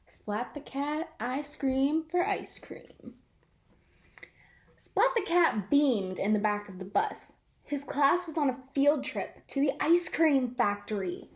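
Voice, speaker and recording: A woman reading a picture book aloud to children in an expressive way, drawing out words for effect. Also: fairly high pitch. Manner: animated, draws out words for effect. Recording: roomy, echoing sound.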